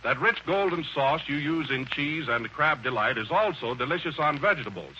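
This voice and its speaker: Bright, animated vintage American radio pitchman. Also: brisk. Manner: emphatic, polished salesmanship. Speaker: radio announcer. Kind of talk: 1930s radio advertisement, scripted pitch. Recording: lo-fi old radio recording, faint hiss.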